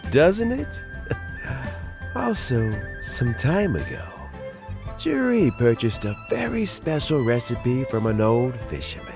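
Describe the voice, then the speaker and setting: A man's voice: medium pitch, wildly swooping pitch, slow, music in the background, exaggerated voice. An actor playing a kindly, wistful elderly man in a video game, with a leisurely, dignified manner. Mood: fond, cheerful. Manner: leisurely, savoring, chuckling elder. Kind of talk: English video game voice acting.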